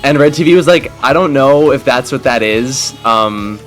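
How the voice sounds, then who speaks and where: A man's voice: mid-range voice, moderate pace. Rambling young man over game music. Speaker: gaming video host. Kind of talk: casual chatty game commentary with friends. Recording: background music under the voice.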